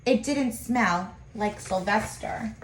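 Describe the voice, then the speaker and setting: A woman reading a children's picture book aloud in an expressive way, pausing to comment to her listeners. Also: medium pitch, slight background noise. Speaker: storybook reader. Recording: echoey room.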